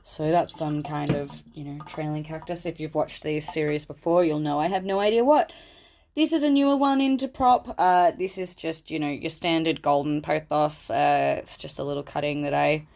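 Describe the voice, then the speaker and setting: A woman with a low voice, stuffy and snuffly as if coming down with a cold. She chats loosely and rambles, talking fondly to her plants at home. It is captured through a phone camera. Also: steady tone, normal speed, gravelly, a little mellow. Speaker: plant vlogger. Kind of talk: casual chat while handling plants. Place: at home indoors.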